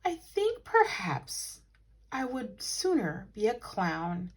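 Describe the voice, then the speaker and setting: A woman's voice: mid-range voice, huge pitch swings, takes it slowly, breathy edge, heavily acted. A woman reader acts out a young boy bear from a storybook. He is polite and earnest, speaking up with plain, exclaiming sincerity. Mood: dreamy.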